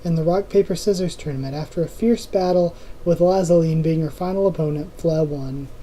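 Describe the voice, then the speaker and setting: A hoarse host reading a novel aloud, breaking off for quick asides and going back over fumbled lines. The tone is deep and mellow, at a middling pitch. The delivery stays relaxed and unpolished. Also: slight background noise, low-quality recording. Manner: informal reading with restarts and self-corrections. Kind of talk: casual novel readthrough with asides.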